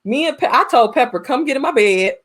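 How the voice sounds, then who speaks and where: An American woman co-hosting a casual movie podcast, telling stories in an animated, freely swearing way. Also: sits high, brisk. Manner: animated storytelling with frequent profanity. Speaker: podcast co-host.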